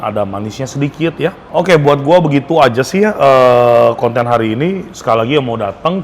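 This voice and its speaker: A man with a Jakarta Indonesian accent gives a casual, enthusiastic food review, speaking slangily and mixing in English phrases. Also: mid-range voice. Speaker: food vlogger. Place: restaurant. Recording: clear background noise, restaurant ambience.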